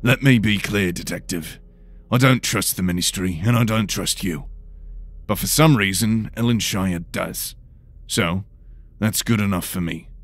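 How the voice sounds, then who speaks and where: A man's voice: fairly low pitch, measured pace. A man voicing a stern, distrustful paladin warrior in a fantasy audio drama, blunt and barking. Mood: wary, stern.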